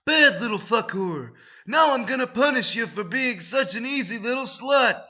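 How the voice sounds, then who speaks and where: A man's voice: extremely high pitch, expressive, normal speed, bright, forceful tone, voice pushed up, exaggerated voice. A man voicing a sneering snowman brute. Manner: commanding, sneering. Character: domineering male snowman, cold and cruel. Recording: dull lo-fi recording.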